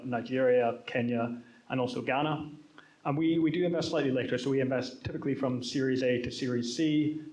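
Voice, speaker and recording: A man, chief of staff at a venture fund, giving a steady, structured introduction on a panel. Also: mid-range voice. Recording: dull lo-fi sound.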